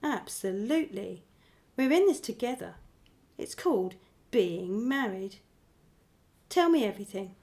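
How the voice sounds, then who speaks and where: An actress in a radio play voicing a sharp, strong-willed wife who speaks pointedly and insistently. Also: female voice, mid-range voice, lively intonation, measured pace, long pauses. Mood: resolute.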